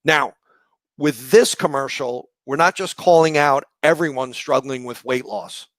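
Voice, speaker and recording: Hoarse raspy man presenting a webinar. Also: mid-range voice, measured pace, fairly bright tone, no echo, low-quality recording. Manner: conversational, explanatory, asks rhetorical questions. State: hoarse from shouting the day before. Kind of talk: live online presentation.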